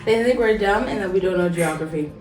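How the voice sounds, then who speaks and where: A woman's voice: medium pitch, fast talker, a little mellow. A young American woman from New Jersey telling stories expressively. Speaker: exchange student. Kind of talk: casual chat. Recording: echoey room, distant mic, background music.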